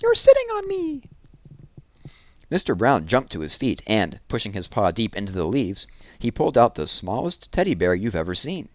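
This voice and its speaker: A man with a fairly low voice reads a children's story aloud, his pitch rising and falling a lot. He tells it gently and expressively, and the recording is dry and close. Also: very animated, a little fast, no echo.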